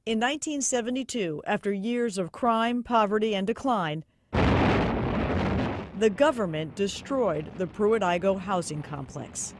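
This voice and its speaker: A woman's voice: mid-range voice, unhurried. A woman with an American accent narrating a scripted investigative television news report.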